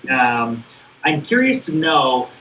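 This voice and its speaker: A man's voice: medium pitch, big pitch swings, slow, bright, forceful tone, roomy sound, distant mic, slight background noise, poor audio. A man hosting a casual interview show, rambling through his questions with self-deprecating asides.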